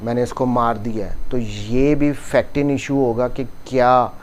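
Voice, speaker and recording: Male law lecturer, Pakistani accent, medium pitch, unhurried delivery, explanatory, code-switches into English legal terms. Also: level tone, slight background noise. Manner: mixes English legal terms and slowly read passages into explanation. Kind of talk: explanatory law lecture, reading statute clauses and explaining them.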